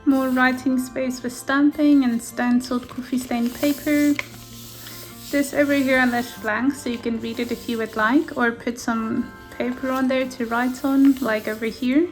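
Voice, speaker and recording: A gentle, softly enthusiastic woman whose first language is Afrikaans, talking through her handmade journal at an easy pace. Her voice sits a little high. Faint music plays quietly behind her. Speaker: junk journal maker. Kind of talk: casual flip-through narration. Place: indoors at a craft table.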